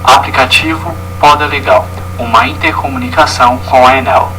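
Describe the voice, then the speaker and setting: A man's voice: medium pitch, normal speed, sharp tone, a little hiss or hum. A young Brazilian man with a Brazilian Portuguese accent, reading a scripted student presentation in a formal manner. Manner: formal, reading from a prepared text. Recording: distant mic with music underneath. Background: background music.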